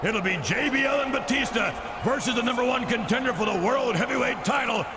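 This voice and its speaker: A man acting a domineering wrestling company chairman, announcing forcefully with drawn-out emphasis and showman authority. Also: extremely high pitch. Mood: commanding. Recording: loud arena crowd noise behind.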